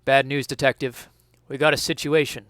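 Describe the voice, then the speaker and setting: A man's voice: mid-range voice, level tone, normal speed, a bit bright, no echo, clean studio sound, natural voice. A man playing a grave, mercenary police chief in a parody crime story, speaking in a clipped, portentous way.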